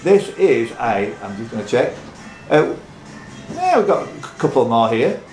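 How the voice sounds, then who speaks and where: A man's voice: medium pitch, very animated, moderate pace, darkish tone. Man hosting a pub quiz, echoey room. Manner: chatty, teasing banter with contestants. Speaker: quiz host. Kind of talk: live pub quiz hosting, reading questions. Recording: roomy echo, music in background.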